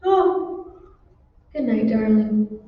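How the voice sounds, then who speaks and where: An actress playing a concerned, gentle wife who reassures her husband in an old-time radio drama. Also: on the high side, expressive, very slow. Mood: tender. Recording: reverberant hall, muffled, distant, noisy.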